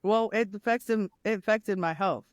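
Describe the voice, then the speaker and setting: A middle-aged woman with a deep voice, a nurse and coach speaking candidly as an interview guest. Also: moderate pace, dry recording, studio quality. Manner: candid, animated storyteller with laughs. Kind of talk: casual interview conversation.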